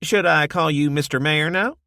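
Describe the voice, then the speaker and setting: A woman's voice: low-pitched, normal speed, fairly bright tone, dry recording, clean studio sound, pitched above the performer's own voice. A man voicing a cheerful, teasing woman who runs a small café kitchen. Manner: teasing, bantering. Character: cheerful sharp-tongued woman café cook.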